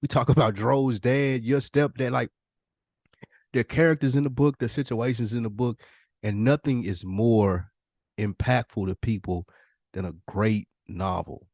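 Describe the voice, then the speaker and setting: A man's voice: mid-range voice, fairly even intonation, moderate pace, a little mellow, no echo, clean studio sound. An American man chatting casually as a podcast co-host, emphatic and given to repeating his phrases.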